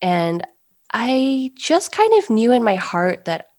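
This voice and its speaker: A young woman and pastry chef answering interview questions earnestly, with soft laughs between thoughts. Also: on the high side, big pitch swings, takes it slowly, no echo, studio quality. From Los Angeles area, US. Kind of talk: reflective interview talk.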